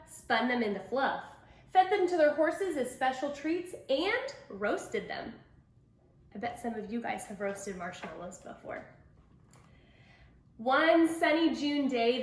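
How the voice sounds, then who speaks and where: A woman reading a picture book aloud to children, telling it expressively and with emphasis. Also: high voice. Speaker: storybook reader. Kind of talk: children's picture book read-aloud with asides to young listeners. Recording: echoey room.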